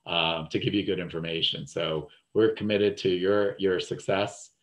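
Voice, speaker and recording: A man's voice: deep voice, fairly even intonation, normal speed. A man with a New Jersey accent hosting a webinar over a video call, talking loosely with lots of fillers. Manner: loose, rambling, repeats fillers. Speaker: webinar host. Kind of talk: casual webinar talk.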